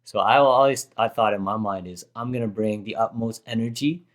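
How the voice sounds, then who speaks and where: A hoarse man chatting casually, rambling and correcting himself as he recalls a performance. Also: lowish voice, brisk. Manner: rambling, self-correcting storyteller. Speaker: rapper reacting to his own performance. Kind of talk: casual chat.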